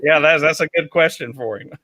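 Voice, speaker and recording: An upbeat man hosts a live talk show, chatting casually with guests and viewers. His voice is bright and forward, recorded dry and close with no room sound around it. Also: a little high, moderate pace, dry recording. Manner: upbeat, joking, with many fillers. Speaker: host.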